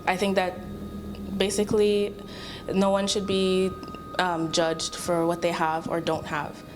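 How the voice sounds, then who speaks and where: A woman's voice: lowish voice, level tone, unhurried. A woman taking part in an experiment, giving an earnest opinion in an interview. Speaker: experiment participant. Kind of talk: interview reflection. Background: music.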